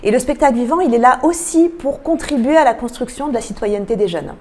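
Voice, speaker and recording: Woman, arts programmer as radio guest. Soft-edged mellow tone, explanatory, hesitant delivery. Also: on the high side, expressive, quick pace. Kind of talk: radio interview, presenting a cultural programme. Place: radio interview.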